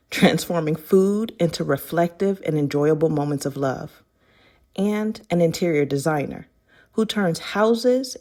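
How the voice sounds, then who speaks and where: Woman, lower pitch, scripted inspirational narration, audiobook reader. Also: a little mellow.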